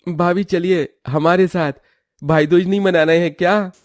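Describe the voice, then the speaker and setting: Man acting a young brother-in-law, highish voice, earnest, affectionate, cartoon family drama, dry sound. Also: fairly even intonation, a little slow, dry recording. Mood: cheerful.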